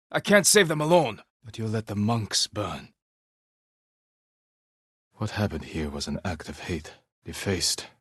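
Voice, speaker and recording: An actor playing a stoic, honorable samurai warrior in a video game, speaking in a measured, earnest and restrained way. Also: male voice, fairly low pitch, slightly rough, dry recording, studio quality. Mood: firm, pressing, then somber, hushed. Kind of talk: scripted video game voice acting.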